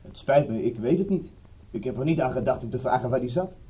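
Mellow, apologetic French gentleman played by a man. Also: medium pitch, lively intonation, fast, close to an everyday voice. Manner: courteous, apologetic. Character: polite, embarrassed, obliging Frenchman, owner of a flat. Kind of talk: radio drama acting.

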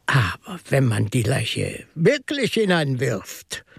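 An actor in a German radio drama playing a mild, shrewd priest-detective who reasons in a measured, reflective way. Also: male voice, mid-range voice, huge pitch swings, creaky, slightly rough, no room sound, studio quality, exaggerated voice. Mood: calm, probing.

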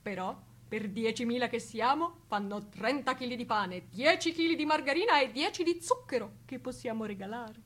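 A woman's voice: a little high, lively intonation, normal speed. A woman playing an earnest, resolute male camp commander in a stage play, declaiming an appeal. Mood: earnest, urging.

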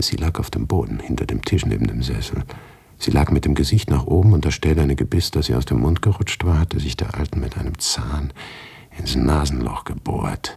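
A male actor playing a brooding writer who narrates his own absurd misfortune in a radio play, husky and deliberate. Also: rumbling low, a little mellow, full character voice. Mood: grim, uneasy. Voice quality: gravelly, husky.

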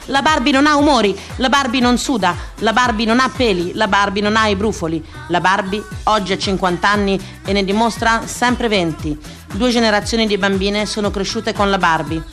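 Actress for theatrical storytelling: deliberate delivery, emphatic repetition, mid-range voice over a music bed. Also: female voice, medium pitch, fairly bright tone. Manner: deliberate, repeats phrases for emphasis. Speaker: actress and monologue performer. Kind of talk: theatrical radio monologue, dramatic scripted storytelling. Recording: music behind the voice.

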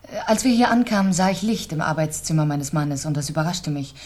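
Low-voiced, composed wealthy widow. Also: female voice, animated, a little mellow. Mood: composed, matter-of-fact. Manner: composed, deliberate, articulate. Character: composed, cool wealthy French wife, newly widowed. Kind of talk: 1970s German radio crime drama acting.

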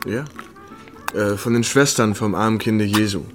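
An actor playing an easygoing, courteous American army captain in a naturalistic, conversational radio drama style. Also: male voice, lowish voice, steady tone, moderate pace, lightly acted. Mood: confiding. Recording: faint music behind the voice.

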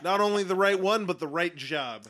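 A man improvising playful pitches in a party game on a podcast. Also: normal speed, background noise. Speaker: podcast co-host.

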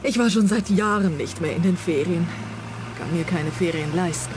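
A woman's voice: fairly low pitch, normal speed, background noise, lightly acted. A woman playing an earnest client of a detective in a radio play. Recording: loud outdoor boat ambience behind. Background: boat deck ambience.

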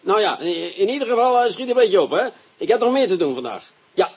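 An actor playing an impatient Amsterdam police detective in a radio play, who speaks in halting English on the phone. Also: male voice, high voice, lively intonation, darkish tone, lo-fi sound, a little hiss or hum. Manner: impatient, brusque, broken English. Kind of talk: radio drama acting.

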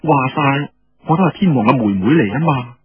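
Husky Cantonese male storyteller, animated. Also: mid-range voice, fairly quick. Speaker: storyteller, audiobook narrator. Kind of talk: dramatic traditional Cantonese storytelling narration. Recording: dull lo-fi radio sound with room echo.

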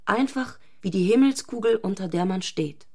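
A woman's voice: mid-range voice, animated, moderate pace, a little mellow, breathy edge. A woman playing an intense, fanatical, provocative married woman in a literary radio drama. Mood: fervent.

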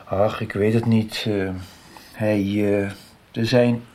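A man's voice: lowish voice, flat delivery, takes it slowly, a little mellow. An actor playing a guarded, troubled family man in a radio soap, talking hesitantly and evasively. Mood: uneasy.

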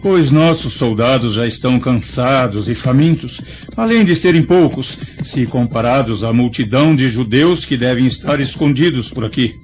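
A man's voice: medium pitch, expressive, moderate pace, darkish tone, close to an everyday voice. A man acting as a cautious, war-weary Roman senator and loyal friend, speaking formally in a radio drama. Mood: wary, friendly. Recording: muffled recording with faint music.

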